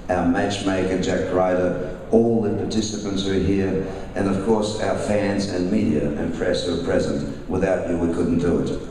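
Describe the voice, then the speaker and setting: Low-voiced man in an echoey hall. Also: warm tone, slight background noise. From Russia, raised in Australia. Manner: halting, restarts sentences, formal introductions. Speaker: boxing promoter. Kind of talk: press conference announcing. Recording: echoey hall, mic far off.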